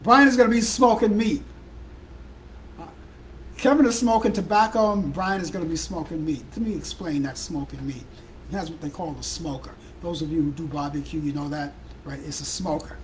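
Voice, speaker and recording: A man from Brooklyn with a Brooklyn accent hosting a live talk show, chatting casually in a hoarse, worn-out voice. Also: on the high side, normal speed, slight background noise, rough recording. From Brooklyn, New York. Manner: rambling, chatty, addresses viewers and callers by name.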